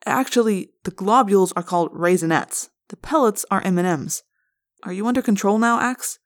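A woman's voice: mid-range voice, normal speed, lightly acted. A woman voicing a firm teenage boy leader, terse and controlled, in an audiobook.

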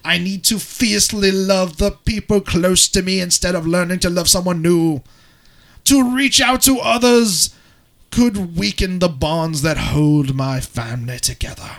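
A man voicing a grieving single mother in a theatrical, solemn English accent, with drawn-out pauses, as a dramatic reading. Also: high-pitched, fairly bright tone. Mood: anguished. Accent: English, put on.

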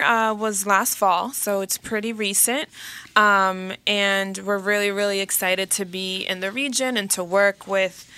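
A young woman from the Dominican Republic, whose first language is Spanish, speaking as a guest on a radio interview in a friendly, steady way. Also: mid-range voice, vocal fry. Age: young adult. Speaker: nonprofit staff guest.

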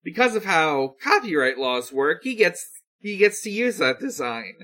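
A podcast co-host casually relaying news in a hesitant, halting way. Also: mid-range voice, very animated, bright, forceful tone.

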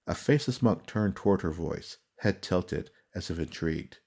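A man reading dramatic fantasy narration as an audiobook narrator, solemn, measured and suspenseful. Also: low-pitched, gravelly, a little mellow, husky.

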